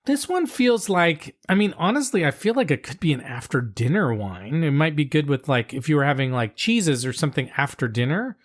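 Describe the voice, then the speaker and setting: A woman hosting a podcast, casually chatting about wine, a bit hoarse as she is losing her voice. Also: low voice, a little fast, few pauses. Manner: relaxed, thinks aloud, reads reviews out. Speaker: podcast host. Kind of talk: casual wine-tasting chat.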